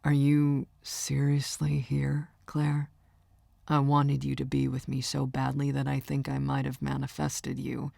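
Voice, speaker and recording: A woman voicing a young male singer, an amused and tender boyfriend, speaking softly and matter-of-factly in an audiobook. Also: a bass voice, completely flat delivery, unhurried, gravelly, no echo, heavily acted. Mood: tender, affectionate. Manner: soft, matter-of-fact.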